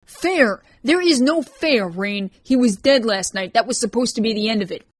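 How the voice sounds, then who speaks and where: A woman's voice: a little high, expressive, normal speed, slightly rough, pitched above the performer's own voice. A woman voicing a stern, impatient young man who speaks in clipped commands. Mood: angry commanding.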